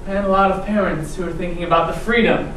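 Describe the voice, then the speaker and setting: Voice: mid-range voice, steady tone, fast, a bit bright. Dramatic stage storyteller, distant in echoing hall. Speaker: readers theatre performer. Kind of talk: theatrical ensemble storytelling on stage. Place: large indoor performance space, far mic. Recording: echoey hall from far off, noisy.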